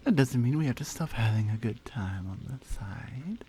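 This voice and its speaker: A woman playing a strong, tender lioness in an intimate ASMR roleplay, speaking softly and earnestly with deliberate, halting phrasing. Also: a bass voice, lively intonation, slight background noise. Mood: earnest, affectionate.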